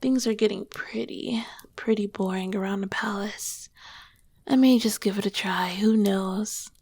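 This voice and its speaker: Girl doing an ancient demon queen for asmr, super breathy n slow, tender n teasing, whispery, close dry mic. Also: mid-range voice, fairly even intonation, no echo. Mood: confiding, fond. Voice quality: soft, breathy, often dropping to a whisper. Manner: slow, gentle, affectionate. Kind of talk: ASMR roleplay, intimate soft-spoken comfort. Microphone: close microphone, dry.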